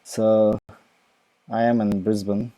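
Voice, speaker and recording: A young Ukrainian-accented man answers questions live from home into his laptop microphone. His voice is very dark and mellow, and the sound is dry and close. He rambles casually, full of fillers and false starts. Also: on the low side, flat delivery, slow pace, no echo, rough recording. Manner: rambling, many fillers and restarts. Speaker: crypto live Q&A host. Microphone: laptop mic.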